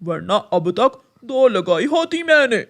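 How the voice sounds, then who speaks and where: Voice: medium pitch, sing-song, slow, exaggerated voice. A performer voicing a bullying, greedy male crow in a children's cartoon story. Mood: bullying, threatening. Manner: loud, commanding, menacing. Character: bullying greedy male crow who threatens smaller birds.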